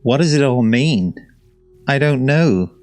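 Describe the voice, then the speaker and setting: A man's voice: medium pitch, animated, slow, a little mellow, no room sound. A man hosting a casual reaction video, rambling and hesitant, often searching for words. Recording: dry close voice over faint game music. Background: faint game music.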